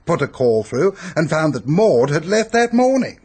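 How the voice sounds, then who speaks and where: An actor in a radio drama playing a pompous, peevish, self-pitying upper-class English hypochondriac who blusters and complains. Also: male voice, a little high, very animated, moderate pace, darkish tone, slightly rough. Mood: grumbling.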